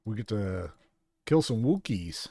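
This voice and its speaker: A man's voice: on the low side, sing-song, measured pace, vocal fry, dark tone, husky, dry recording. A man co-hosting a game stream, chiming in with short, dry remarks. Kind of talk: casual gameplay chat.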